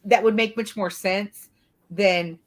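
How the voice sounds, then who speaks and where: A woman's voice: medium pitch, steady tone, slow pace. A woman from the American South speaking casually and at length as a panel commentator, circling through her points with lots of filler phrases. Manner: rambling, frequent "you know". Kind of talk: casual true-crime discussion.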